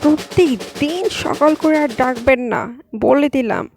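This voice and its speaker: A woman's voice: high voice, lively intonation, moderate pace, gravelly, music in the background. A woman voicing a rude, lazy ghost that has taken over a young girl, in an amateur cartoon. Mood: bossy, rude. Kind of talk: amateur animated cartoon voice acting.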